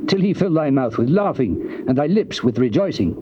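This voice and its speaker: A man plays a stern, moralizing counsellor in a classical Bible drama. He admonishes solemnly in a measured, mid-pitched voice. The old cassette sound is dull and heavily covered in noise. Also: very animated, lightly acted. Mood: solemn admonishing. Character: Bildad, stern moralizing counsellor of the righteous sufferer. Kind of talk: dramatized Bible reading, theatrical classical delivery. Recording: muffled, very hissy old cassette tape transfer.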